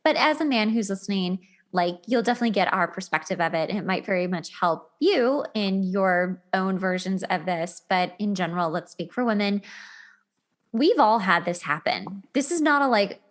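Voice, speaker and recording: Woman, talk show host, mid-range voice, casual chatty delivery, animated and colloquial. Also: mid-range voice, normal speed. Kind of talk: casual relationship chat.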